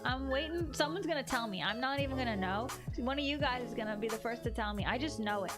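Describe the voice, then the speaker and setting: A young woman streaming her drawing, chatting bubbly and giggly with viewers, a bit hoarse from losing her voice. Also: on the high side, quick pace. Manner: bubbly, giggly, playful sound effects. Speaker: art streamer. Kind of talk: casual chat reading viewer messages. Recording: music playing underneath. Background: background music.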